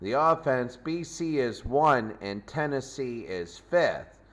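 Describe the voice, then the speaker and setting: Guy hosting a sports pregame, mid-range voice, kinda halting and off the cuff. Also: mid-range voice, lively intonation, fairly bright tone. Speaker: sports host. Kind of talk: pregame show commentary.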